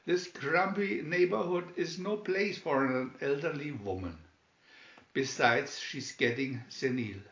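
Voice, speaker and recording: A man's voice: on the high side, measured pace, fairly bright tone. A man voicing a naive, idealistic and earnest young man in a storybook reading. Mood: pleading. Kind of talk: storybook read-aloud. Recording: dull, roomy home recording.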